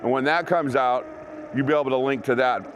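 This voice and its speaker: A man's voice: medium pitch, a little fast, a little hiss or hum. A man hosting a gear review vlog, chatting informally and directly to his viewers. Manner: informal, conversational, talks to viewers. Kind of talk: casual product review chat to camera.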